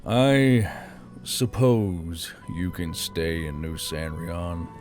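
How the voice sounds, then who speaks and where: Man acting a gruff, growling, weary official. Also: deep voice, expressive, drawn-out words, a little mellow, soft background music, voice pushed down, full character voice. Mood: grudging. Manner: blunt, stern. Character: gruff, weary, blunt male official, grudgingly lenient. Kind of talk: audiobook character voice.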